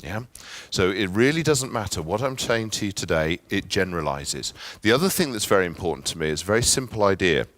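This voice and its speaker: Man, English accent, middle-aged, very low voice, dry close sound, chatty workshop presenter. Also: animated, a little fast, fairly bright tone, no echo. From England. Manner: friendly, chatty, with asides and filler ums. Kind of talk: conference workshop talk.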